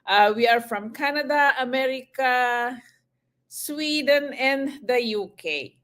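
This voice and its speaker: A woman's voice: high-pitched, expressive, slow, a bit bright. An older woman, a retired nurse, co-hosting a live online webinar in a friendly manner with frequent fillers.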